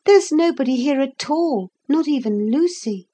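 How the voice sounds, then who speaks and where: Woman voicing an eager, high-pitched storybook boy. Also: animated, unhurried, mellow. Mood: lonely, wondering. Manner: earnest, eager questioning. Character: young boy, curious, polite, impatient storybook adventurer. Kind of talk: storybook character voice in a read-aloud.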